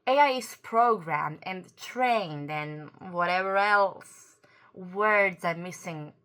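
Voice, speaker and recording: A woman's voice: fairly low pitch, takes it slowly, a bit bright, clean tone. A native Croatian-speaking woman giving an earnest opinion commentary to camera. She often searches for words and corrects herself as she goes. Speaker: YouTube commentator.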